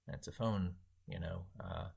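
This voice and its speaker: A man with a deep-pitched, husky voice full of creak hosts a casual tech livestream. He thinks aloud as he goes, rambling and repeating phrases for emphasis. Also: fairly even intonation, unhurried, warm tone. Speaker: livestream host.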